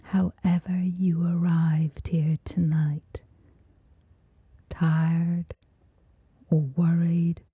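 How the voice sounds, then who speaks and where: A woman with a soft, whispery voice gently guides a scripted sleep meditation, soothing, with long pauses between short phrases. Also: fairly low pitch, slightly rough, no room sound, phone-quality sound.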